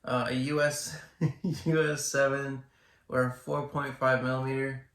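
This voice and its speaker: A man's voice: mid-range voice, little pitch movement, unhurried, a bit bright, echoey room. A young man co-hosting a casual podcast, talking hesitantly with many restarts and fillers. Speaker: podcast co-host. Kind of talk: casual chat.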